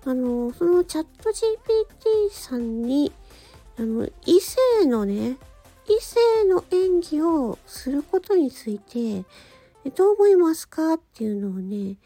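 A woman hosting a solo radio-style talk, speaking politely and gently in short, halting phrases. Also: high-pitched, very animated, soft background music. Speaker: radio show host and amateur voice actor.

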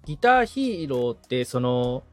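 A man's voice: mid-range voice, sing-song, normal speed, faint music behind. A man in the assistant's seat on a podcast, explaining his interests casually and enthusiastically, thinking aloud as he goes. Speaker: podcast assistant, guitarist being coached.

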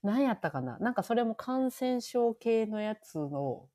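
A woman's voice: fairly high pitch, big pitch swings, a little slow, mellow. A woman in her thirties with a Kansai Japanese accent, co-hosting a casual podcast and thinking aloud in a reflective way. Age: 30s. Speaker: podcast co-host. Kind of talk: casual nostalgic chat.

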